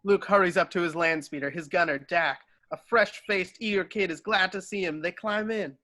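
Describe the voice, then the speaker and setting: A man's voice: sits high, moderate pace, sharp tone, dry recording. A man reading stage directions as scripted narration, giving scene headings in clipped fragments.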